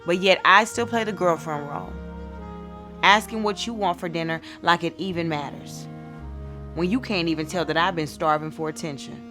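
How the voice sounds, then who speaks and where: A neglected, resentful girlfriend, played by an actress, speaks over music in a deliberate spoken-word monologue. Her delivery is poetic and emotional, full of hurt. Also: fairly low pitch, expressive. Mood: frustrated, pleading. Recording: music playing behind.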